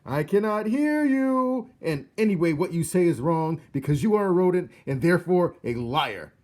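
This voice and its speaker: A man's voice: high-pitched, animated, normal speed, a little mellow, voice pushed up. A man voicing a stubborn, grief-stricken storybook king who bellows and dismisses what he is told. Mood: shouting denial.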